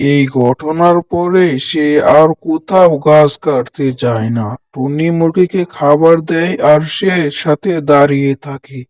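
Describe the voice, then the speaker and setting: A man narrates a scripted story for children. His voice sits a little high and he takes his time. The recording is very dry, with no room sound. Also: a little mellow, no room sound.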